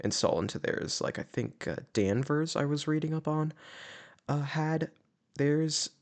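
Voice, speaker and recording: A man's voice: a little high, unhurried. A young man hosting his own channel, chatting casually through a tier list with a sore throat, often trailing into "uh" and "you know.". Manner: rambling, with many "uh"s and "you know"s. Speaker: YouTube host ranking animatronic stages.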